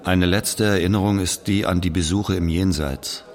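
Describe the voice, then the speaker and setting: An actor playing a brooding, guilt-ridden Algerian man who recalls his past in a grave, measured literary monologue. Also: low voice, fairly even intonation, a crowd in the background. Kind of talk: literary monologue, scripted narration. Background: plucked guitar music.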